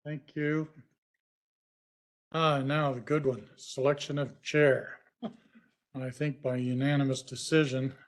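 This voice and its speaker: A man serving as vice chair, running a city commission meeting in a plain, folksy way with many fillers. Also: medium pitch. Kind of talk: public meeting procedure.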